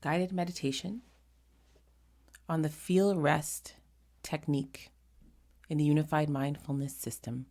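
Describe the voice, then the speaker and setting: A woman leading a guided meditation in a gentle, unhurried manner, pausing often between short phrases. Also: deep voice, fairly even intonation.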